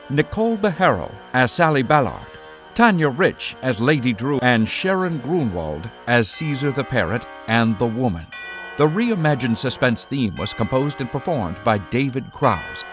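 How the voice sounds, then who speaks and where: Lively male radio announcer over music. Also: mid-range voice. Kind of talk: scripted old-time radio announcing. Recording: music under the voice.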